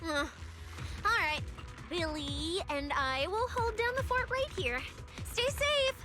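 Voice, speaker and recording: A woman's voice: extremely high pitch, takes it slowly, exaggerated voice. An actress voicing an upbeat, cheerful young woman in a video game dub. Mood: bubbly. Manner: bubbly, animated. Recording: game audio over loud music.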